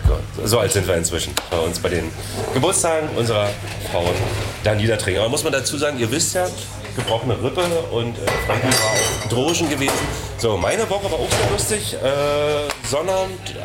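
A man's voice: mid-range voice, animated, brisk. A middle-aged man hosting a casual, chatty interview show. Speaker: show host. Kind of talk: casual bar interview and chat. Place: inside a bar. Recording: loud clattering bar noise.

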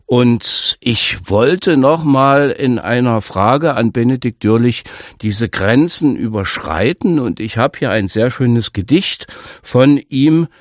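Slow, calm male radio host. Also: mid-range voice, no room sound, clean studio sound. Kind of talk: radio interview hosting. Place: radio studio.

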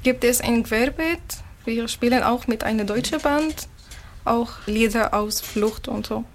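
A woman from Syria, answers questions in a calm, matter-of-fact way. Her voice sits slightly high. A faint hum of room noise runs underneath. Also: a little slow. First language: Arabic. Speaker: interviewee, musician. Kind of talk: interview answers.

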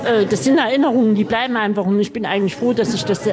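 A middle-aged or older woman with a fairly high voice talks casually about personal memories as an audience member. People murmur clearly behind her. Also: racing, a crowd in the background.

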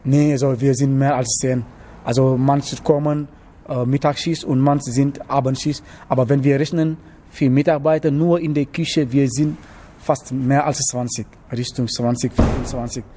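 A young man from Senegal who learned German as an adult, answering interview questions about his cooking apprenticeship, often pausing to search for words. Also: medium pitch, steady tone, dark tone. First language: not German. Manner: halting, searching for words with many hesitations. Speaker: interviewee, trainee cook. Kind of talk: casual interview.

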